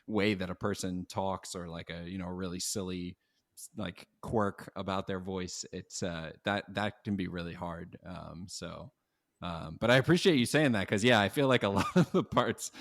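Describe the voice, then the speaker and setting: Low-pitched man, hesitant and self-deprecating. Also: normal speed, dry recording, clean studio sound. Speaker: voice actor being interviewed. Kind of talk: casual chat.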